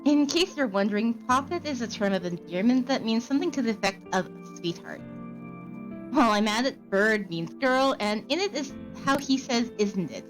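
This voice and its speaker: A woman streamer reading a visual novel aloud and chatting, with a measured, explanatory way of talking. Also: on the high side, expressive, poor audio. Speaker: streamer and narrator. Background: background music.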